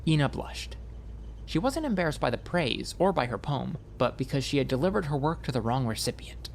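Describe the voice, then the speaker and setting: Male narrator, audiobook, wry storytelling, very animated intonation, creaky edge, faint ambient noise. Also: medium pitch, fairly quick.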